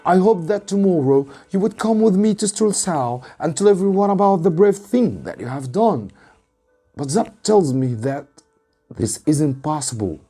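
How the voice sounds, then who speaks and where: Man acting a weak, high-voiced grateful king. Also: lively intonation, normal speed, mellow, husky, soft background music. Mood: grateful, earnest. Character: weak, grateful, friendly king recovering in bed.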